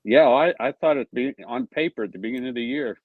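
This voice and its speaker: A man's voice: mid-range voice, fast talker, dry recording. A man in his sixties from the Pittsburgh area, joking and telling stories in an easygoing group chat. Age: 60s. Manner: easygoing, joking, leisurely storytelling. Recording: dull lo-fi voice chat audio.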